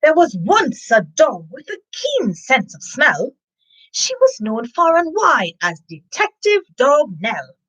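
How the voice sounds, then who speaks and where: Female children's story host: higher-pitched, animated and unhurried, expressive reader who talks directly to her listeners. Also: rough recording. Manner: animated storybook delivery with sniffing and asides to listeners. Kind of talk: live chat and expressive picture-book reading.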